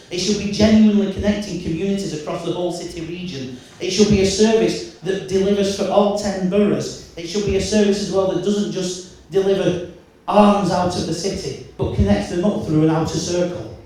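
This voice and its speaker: A man from giving a loud, emphatic campaign speech as a mayoral candidate, often restarting his sentences. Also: sits high, fairly even intonation, brisk. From Wigan, England. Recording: echoey hall, mic from far off.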